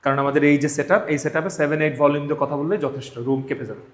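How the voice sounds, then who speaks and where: A man's voice: on the high side, fairly even intonation, fast talker. A man with a Bangladeshi accent teaching a live class, emphatic, repeating phrases and checking that students follow. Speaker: spoken English teacher. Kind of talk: live classroom instruction with drills. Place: classroom, indoors. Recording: echoey room.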